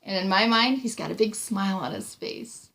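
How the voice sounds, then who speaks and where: A woman's voice: mid-range voice, moderate pace, creaky, fairly bright tone. A woman reads a storybook aloud and discusses it in an encouraging, explanatory way. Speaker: reading tutor reading aloud to a child. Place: small room indoors.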